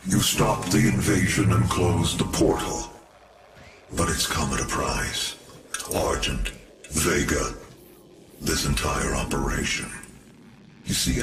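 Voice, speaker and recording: An actor playing a grave, calculating director of an energy operation in a video game, speaking in a measured, solemn way. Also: male voice, sits low. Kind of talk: video game cutscene dialogue. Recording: echoey, heavy loud background noise.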